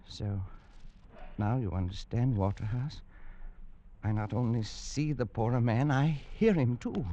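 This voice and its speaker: A man's voice: medium pitch, a little slow, dark tone, natural voice. An actor in a 1940s radio drama playing a feverish, haunted British adventurer, agitated and theatrical as his mind unravels. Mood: bitter, haunted. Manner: agitated, theatrical, swinging from brooding to hysterical. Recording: muffled, noisy vintage radio recording.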